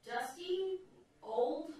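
A woman's voice: very high-pitched, lively intonation, extremely slow, dark tone, full character voice. An actress playing a plucky, determined woman in danger in a radio drama. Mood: uneasy, teasing.

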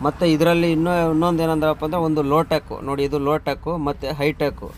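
A man's voice: a little high, little pitch movement, racing. A man, a truck driver vlogger chatting casually to his viewers and explaining a vehicle, outdoors with faint traffic noise behind. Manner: chatty, addresses viewers as brother and friends.

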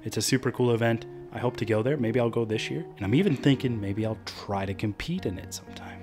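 A man's voice: mid-range voice, quick pace, over music. A young man in his twenties, an upbeat vlogger explaining a sport in a chatty way from a cozy living room. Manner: chatty, upbeat, slangy asides. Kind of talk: casual explainer vlog.

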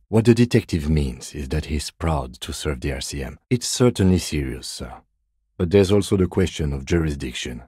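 An actor playing a composed, dry-witted police lieutenant in a video game, measured and formal, with a husky voice. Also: male voice, extremely low pitch, a little mellow, no room sound, heavily acted. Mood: composed, serious. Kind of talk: scripted game dialogue.

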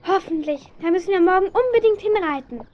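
A woman's voice: extremely high pitch, lively intonation, a little fast. A woman playing a cautious, doubting teenage girl in a children's radio play, hesitant and questioning. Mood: hopeful. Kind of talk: 1970s German children's radio play acting. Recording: dull, muffled old audio drama recording.

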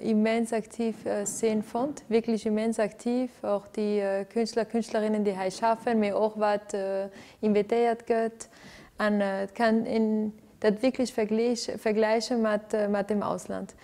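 A woman's voice: medium pitch, little pitch movement, unhurried, dark tone. A woman, answering interview questions in a living room with many fillers and restarts. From Venezuela. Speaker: interviewee, arts director. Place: living room with lights set up for filming.

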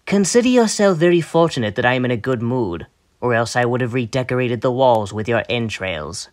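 A man's voice: medium pitch, animated, brisk, close to an everyday voice. A man voicing a vain, sadistic alien tyrant from an anime, theatrical and mockingly polite as he taunts and gloats. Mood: cool, menacing. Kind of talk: anime villain voice demo, acted character lines.

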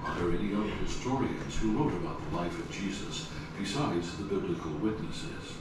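A man narrating a documentary in a measured, scripted way, posing rhetorical questions. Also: sits low, lively intonation. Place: documentary audio played in a garage gym. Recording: echoey, distant playback with loud noise.

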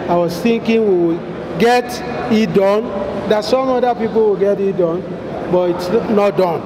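A man giving a slow, earnest debate speech at a podium, repeating his phrases. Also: sits high, background noise. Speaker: political candidate. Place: large event hall. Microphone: handheld mic at podium.

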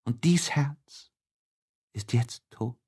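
A man playing a tormented, lovesick young man in an audiobook of a classic novel, emotive and halting, with heavy pauses. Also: mid-range voice, mellow, slightly rough. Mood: despairing. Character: tormented, lovesick young man writing despairing diary letters.

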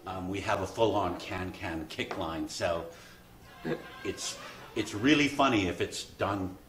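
A man's voice: sits low, a little slow, a bit bright, a little hiss or hum. A man speaking as a stage cast member in a casual group interview. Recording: echoey room.

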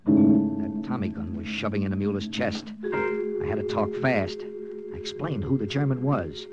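An actor playing a terse, commanding American wartime intelligence captain with an American accent in an old-time radio drama. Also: male voice, fairly low pitch, normal speed, lo-fi sound, natural voice. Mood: tense narrating. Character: hard-boiled American OSS captain narrating his wartime mission. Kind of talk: 1940s-50s radio drama narration and acting. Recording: vintage radio drama with music.

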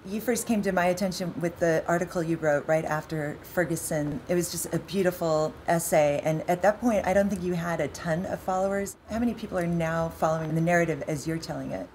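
An American woman in her forties with a fairly low voice speaks as an interviewer. Her delivery is conversational, and faint background noise runs behind her. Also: a little fast, hardly any pauses, a little mellow. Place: indoor booth seating, seated interview.

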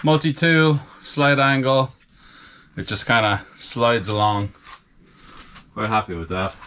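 A man casually talking the viewer through his renovation work indoors, matter-of-fact and relaxed. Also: mid-range voice, slow, noisy background. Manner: relaxed, matter-of-fact, talks to camera while working. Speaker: DIY renovator. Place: indoors, farmhouse under renovation.

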